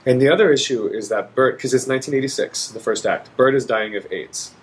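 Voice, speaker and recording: A man's voice: mid-range voice, fairly quick, low-quality recording. A young adult man from Texas, an opera singer chatting as a podcast guest with enthusiasm and lots of fillers. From Dallas, Texas. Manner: enthusiastic, rambling, frequent fillers. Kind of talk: casual interview chat.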